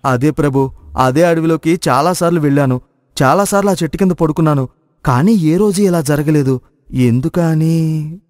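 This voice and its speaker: A naive village cowherd acted by a man with a fairly high male voice. He speaks in excitable exclamations and questions, as in a moral story. A long reverb hangs on the recording. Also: moderate pace, studio quality, close to an everyday voice. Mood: respectful, bewildered. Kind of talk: Telugu moral story voice acting.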